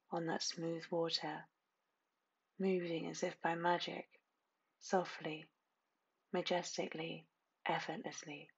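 A woman narrating a scripted guided meditation in a soothing, hushed, hypnotic manner, lingering on repeated phrases with long pauses. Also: sits low, vocal fry, muffled recording, a little hiss or hum.

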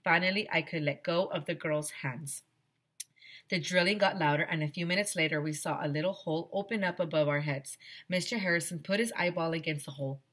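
A middle-aged woman reads a children's story as a teacher would, expressively. Her voice sits fairly low, picked up on a headset in a small room. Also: fairly quick, a bit bright. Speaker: teacher reading aloud. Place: small decorated room indoors.

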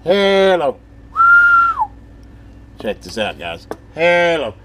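A man's voice: a little high, big pitch swings, extremely slow, heavy background noise. A man giving a casual, rambling hands-on radio demonstration, thinking aloud in a folksy, informal way. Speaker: hobbyist presenter demonstrating a CB radio.

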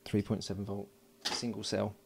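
A man giving casual hands-on commentary as an electronics hobbyist, informal and halting as he thinks aloud while working. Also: fairly low pitch, completely flat delivery, gravelly, noisy background. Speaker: electronics hobbyist presenting a build. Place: indoors at a workbench.

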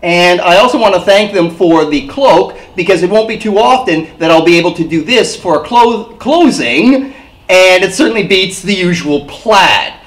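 A man's voice: high-pitched, lively intonation, normal speed, fairly bright tone. A middle-aged man presenting a travel vlog as host, narrating and interviewing.

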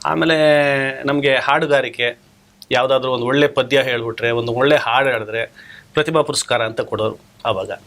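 A man reminiscing as an interview guest, halting as he searches for words. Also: mid-range voice, steady tone, a bit bright. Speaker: interview guest, theatre artist.

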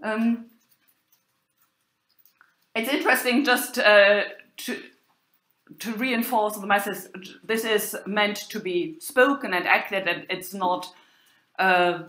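Slow, halting German-accented woman lecturer. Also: mid-range voice, fairly even intonation, fairly bright tone. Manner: halting, hesitant delivery with many pauses. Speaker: university lecturer in medieval German literature. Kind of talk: informal academic lecture with quoted text. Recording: roomy, echoing sound.

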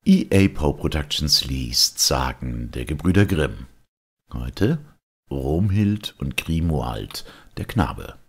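A man with an extremely low, husky voice narrates an old legend. His delivery is measured and expressive, with lively swings in pitch. It is a storyteller's scripted reading.

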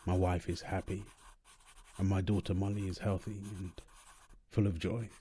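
A man's voice: low-pitched, level tone, normal speed, dark tone, slight background noise, close to an everyday voice. A man playing a doting young husband and new father, tender and playful, in an ASMR boyfriend roleplay. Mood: tender, reflective.

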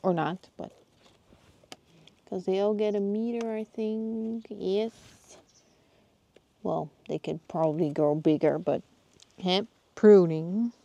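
A Dutch woman filming herself outdoors in her garden with a handheld phone. She talks casually and often pauses to search for plant names. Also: mid-range voice, fairly even intonation, vocal fry, mellow, no room sound, highs cut off. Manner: searching for words, thinking aloud. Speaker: gardening vlogger.

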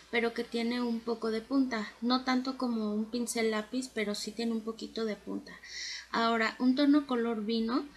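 A woman, giving a casual, instructive makeup tutorial as a beauty vlogger. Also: a little high. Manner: chatty, instructive. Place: bedroom at home.

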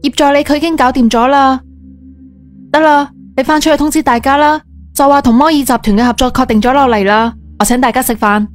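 A bossy but soft-hearted lady executive, played by a woman, speaks Cantonese in a high voice. It is audio drama acting, with music underneath. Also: steady tone, fairly quick, natural voice. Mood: commanding. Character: bossy yet soft-hearted female company boss. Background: background music.

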